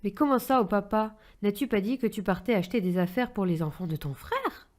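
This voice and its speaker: A woman's voice: mid-range voice, expressive, fairly quick, natural voice. A woman voicing an imaginative, eager young boy full of questions in a French children's cartoon. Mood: confused questioning.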